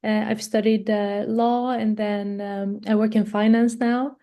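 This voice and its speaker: A woman's voice: mid-range voice, level tone, a little slow, dull audio. A woman in her twenties from Sweden, a podcast guest who speaks politely and hesitantly, with frequent uhs. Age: 20s. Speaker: coaching client, podcast guest. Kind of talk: conversational interview.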